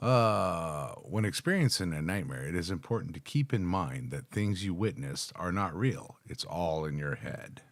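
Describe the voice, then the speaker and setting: A man's voice: deep voice, expressive, moderate pace, gravelly, few pauses, no room sound. A middle-aged American man reading text aloud and adding casual asides as he hosts a live reaction. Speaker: reaction video host. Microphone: close microphone on a boom arm, headphones on.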